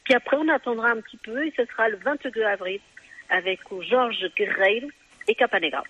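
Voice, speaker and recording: A woman's voice: on the high side, brisk, a bit bright, slightly rough. Cheerful woman guest on a dull line. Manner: cheerful, hesitant with many fillers. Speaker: association president, radio guest. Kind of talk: casual radio chat.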